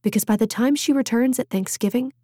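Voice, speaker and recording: A woman's voice: a little high, fairly quick, dark tone, dry recording. Close, dry-sounding woman narrating a novel. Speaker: audiobook narrator.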